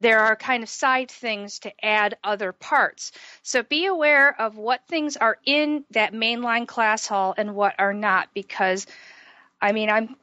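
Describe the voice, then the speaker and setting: Bright, slightly hoarse woman podcaster. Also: fairly high pitch, fairly even intonation, a little slow, no echo, dull audio. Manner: chatty, self-deprecating, explains at length with restarts. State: slightly hoarse from a sore throat. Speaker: podcast co-host. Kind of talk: casual gaming podcast chat.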